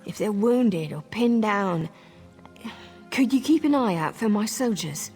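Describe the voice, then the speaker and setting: A woman's voice: mid-range voice, expressive, a little slow, a little mellow, soft background music. A woman voicing a resolute, earnest elf marine sergeant worried for her squad in a fantasy video game.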